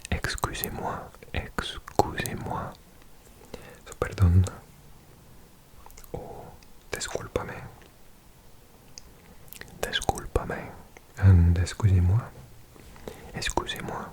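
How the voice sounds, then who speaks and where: Slow, whispered ASMR language teacher. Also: sits low, little pitch movement. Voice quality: breathy whisper. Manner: softly repeating words, unhurried.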